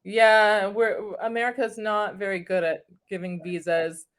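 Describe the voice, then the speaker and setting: A woman's voice: medium pitch, steady tone, a little slow. A woman from New York City, chatting as an online English tutor in a friendly, easygoing way over a video call. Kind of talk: casual conversation.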